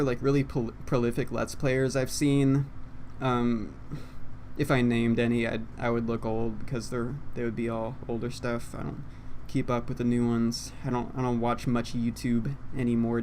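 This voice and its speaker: A man's voice: mid-range voice, darkish tone. A young man talking casually into a condenser mic at his computer, rambling in a self-deprecating way with lots of fillers. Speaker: YouTuber. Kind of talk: unscripted rambling monologue. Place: home room, seated at computer. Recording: faint background noise.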